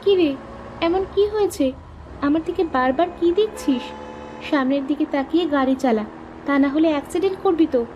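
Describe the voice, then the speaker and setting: Woman acting high-pitched bossy young woman. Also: normal speed, highs cut off, background noise, lightly acted. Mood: scolding. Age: young adult. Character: young woman, bossy, teasing childhood friend. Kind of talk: Bengali animated story dialogue.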